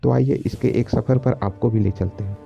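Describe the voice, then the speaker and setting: A man's voice: mid-range voice, fairly even intonation, brisk, creaky, mellow, dull audio, soft background music. A young man narrating a travel vlog as its host. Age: young adult. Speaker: travel vlogger.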